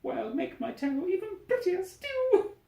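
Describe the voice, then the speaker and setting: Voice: extremely high pitch, very animated, unhurried, creaky, mellow, voice pushed up, exaggerated voice. A reader voicing a vain, jealous little girl bird who whines and squeals, in a roomy recording. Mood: gleeful. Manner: whiny, squealing. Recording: roomy echo.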